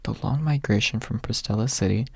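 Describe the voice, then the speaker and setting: A man's voice: lowish voice, fairly quick, vocal fry, a little mellow, slightly rough, no echo. A man reading a story aloud as an ASMR audiobook narrator, close to the microphone.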